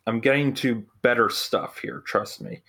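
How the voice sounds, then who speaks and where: A man hosting a podcast in a casual film-review chat, blunt and given to pauses. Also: mid-range voice, steady tone, sharp tone. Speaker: podcast host.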